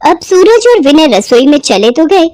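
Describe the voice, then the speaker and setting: A woman tells a scripted tale as narrator. Her voice is high, and the recording is dry and close, with almost no room sound. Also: big pitch swings, moderate pace, darkish tone, no room sound. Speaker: storyteller.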